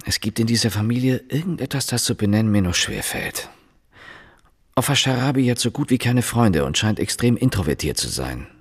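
An actor playing a sober, guarded police inspector in a radio drama, speaking tersely and matter-of-factly. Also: male voice, medium pitch, animated, a little fast, slightly rough. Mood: sober, brooding. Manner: terse, matter-of-fact questioning. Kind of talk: radio drama dialogue and inner monologue.

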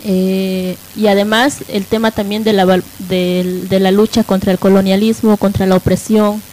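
A woman presenting on a live radio talk show, halting and often adding tag questions to seek agreement. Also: medium pitch. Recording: noisy live radio with steady hiss.

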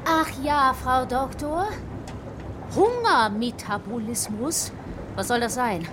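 A woman's voice: sits high, slow pace, noisy background. An actress playing a brash, foul-mouthed, tough young woman survivor in a German radio drama, with a sarcastic, combative way of talking.

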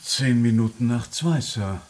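Man acting a servile valet, roomy sound, mid-pitched, formal, terse, sleepy, radio drama. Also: unhurried, a little mellow, natural voice. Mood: sleepy, deferential. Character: deferential, sleepy manservant to a gentleman. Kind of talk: radio play dialogue.

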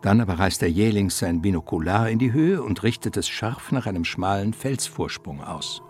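A man narrating a scripted radio play in a measured, theatrical storytelling manner. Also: fairly low pitch, animated, darkish tone.

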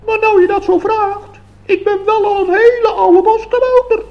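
A tiny, elderly forest gnome in a slightly dull radio recording. The voice is extremely high. He sounds naive, earnest and a little indignant. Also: steady tone, normal speed. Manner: earnest, hesitant.

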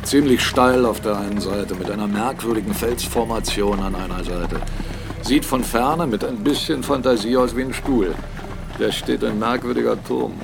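Blunt, defiant mill owner, music behind. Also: male voice, on the low side, moderate pace, creaky. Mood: matter-of-fact, grim. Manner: argumentative, emphatic, rhetorical questions. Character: blunt, defiant male mill owner and captain, critical of church. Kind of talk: German radio drama acting.

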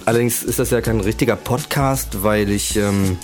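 A man with a cold speaks as a guest on a radio show, with a low voice. He talks hesitantly with plenty of fillers, and faint music plays underneath. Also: moderate pace. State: has a cold. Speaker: podcaster guest. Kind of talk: casual radio interview. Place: radio studio.

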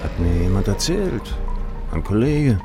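An actor playing a troubled, jealous husband in a radio crime drama, hesitant and pleading. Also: male voice, lowish voice, sing-song, creaky, over music, exaggerated voice. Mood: anxious, pleading. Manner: halting, pleading.

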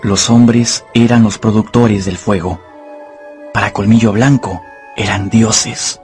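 A man narrating a dramatized radio novel as an expressive, deliberate storyteller. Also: fairly low pitch, faint music behind.

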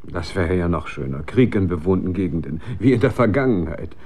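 A man's voice: low-pitched, expressive, brisk, darkish tone. An actor playing a casual, mildly grumbling married professional man in a naturalistic radio drama, offhand and dry. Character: casual, mildly grumbling husband, a working doctor.

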